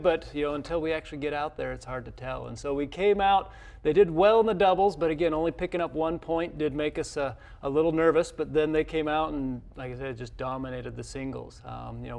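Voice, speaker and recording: A man, a college coach, answering interview questions in a television studio in a halting, modest way that often repeats words. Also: fairly high pitch, vocal fry. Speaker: college tennis head coach, interview guest. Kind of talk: unscripted interview talk.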